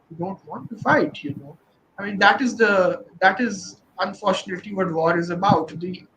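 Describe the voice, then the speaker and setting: A man's voice: sits high, a bit bright, a little hiss or hum, low-quality recording. A man reading novel excerpts aloud and then discussing them thoughtfully, with many fillers and restarts, on a virtual event call. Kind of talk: dramatic reading and literary discussion.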